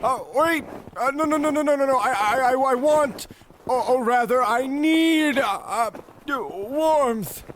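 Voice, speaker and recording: A man's voice: extremely high pitch, unhurried, a bit bright. A man playing a desperate, pleading beggar in a comedy radio play, wailing and stammering over background noise. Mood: panicked.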